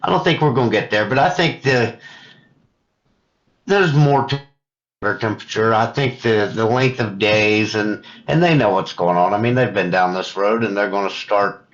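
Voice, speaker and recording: A middle-aged man chatting casually about fishing as a guest angler, halting and repeating words as he thinks aloud over a remote call. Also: fairly low pitch, fairly bright tone. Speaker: guest, competitive kayak angler. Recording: dull lo-fi call audio.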